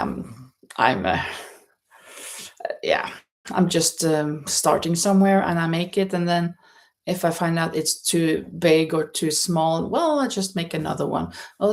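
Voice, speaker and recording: A woman with a Norwegian accent and a noticeably creaky voice hosts a casual livestream. She talks very slowly in a lowish register, rambling and hesitating as she replies to viewers. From Norway. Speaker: livestream host. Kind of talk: casual chat while knitting, reading out viewer comments. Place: indoors at home in a holiday stay.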